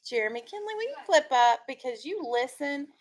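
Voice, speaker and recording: High-pitched woman teaching a class. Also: sing-song, brisk. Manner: instructive, questioning, checking understanding. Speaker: elementary school teacher. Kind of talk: classroom reading discussion. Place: school classroom.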